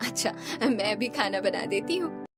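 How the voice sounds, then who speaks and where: A woman's voice: mid-range voice, animated, moderate pace, over music, close to an everyday voice. A woman playing a kind, hopeful young daughter-in-law who speaks in an earnest, reassuring way in a cartoon. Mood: bright, caring.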